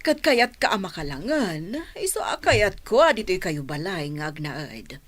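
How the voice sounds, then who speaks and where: A woman playing an elderly mother welcoming her son's wife, in Filipino audio drama style with deliberate, emotive delivery. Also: fairly low pitch, very animated, fairly bright tone, full character voice. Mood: fond, approving.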